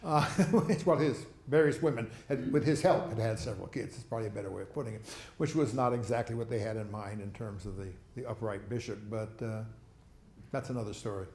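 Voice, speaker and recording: A man's voice: on the low side, fairly quick, a little mellow, echoey room, slight background noise. A man giving a rambling talk on foreign policy, with chuckling asides, answering audience questions. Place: lecture hall.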